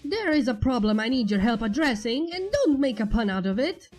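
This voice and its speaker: A woman voicing a pompous, excitable male skeleton in a story reading, proclaiming everything emphatically. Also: on the high side, a little fast, faint music behind, voice pushed up. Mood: earnest complaint.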